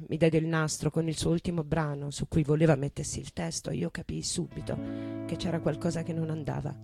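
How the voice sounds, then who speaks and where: An actress playing a weary, grieving rock singer who looks back in an intimate, reflective theatre monologue. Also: female voice, sits low. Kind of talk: dramatic first-person theatre reading. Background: plucked guitar.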